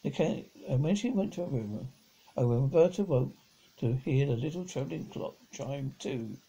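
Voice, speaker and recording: A middle-aged man reads a children's story aloud, stumbling over words and rereading them, in a husky, gravelly voice. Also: mid-range voice, animated, moderate pace, mellow, poor audio. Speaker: amateur audiobook reader. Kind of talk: reading a children's novel aloud. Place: living room at home, on a sofa.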